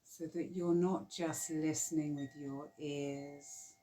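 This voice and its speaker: A woman guiding a yoga nidra relaxation, speaking softly and soothingly with long pauses. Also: sits low, fairly even intonation. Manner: slow, soft, soothing guidance with long pauses. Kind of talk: guided relaxation meditation.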